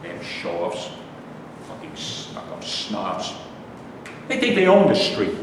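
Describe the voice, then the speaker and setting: A man's voice: huge pitch swings, takes it slowly, exaggerated voice. A man acting a bitter old veteran, echoing hall. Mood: angry contempt. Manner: aggrieved, profane ranting. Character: bitter, griping, foul-mouthed old male army veteran. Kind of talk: staged play reading. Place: indoor live staged reading. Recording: echoey hall, distant mic, rumbling noise.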